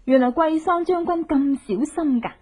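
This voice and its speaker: A woman acting a cook's scheming wife in a Hong Kong Cantonese radio drama. Her voice is high and coquettish, wheedling with playful boldness. It comes through thin and band-limited, like an old radio recording. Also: moderate pace, narrow, tinny audio, close to an everyday voice. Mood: curious, teasing.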